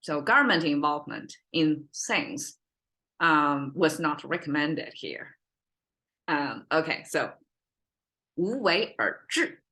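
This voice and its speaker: Casting: female language-lesson host, native Mandarin speaker with Chinese accent, slightly low bright voice, informal, meandering, checks in with listeners. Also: expressive, takes it slowly. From China. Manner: rambling, self-correcting, checks in with listeners. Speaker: language teacher, podcast host.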